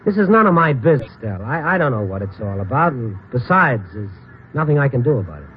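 An American actor playing a calm, wry man who narrates and consoles friends in a measured, noncommittal way, in old-time radio drama style. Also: mid-range voice, a little hiss or hum, close to an everyday voice. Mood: reassuring. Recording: muffled old radio recording, music behind.

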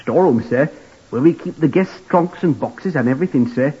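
A man's voice: fairly high pitch, lively intonation, a little fast, warm tone, husky. An eager, apologetic young lift boy. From Blackpool, Lancashire. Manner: overly polite, says sir constantly, apologetic. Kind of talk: 1940s radio acting. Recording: lo-fi vintage radio recording.

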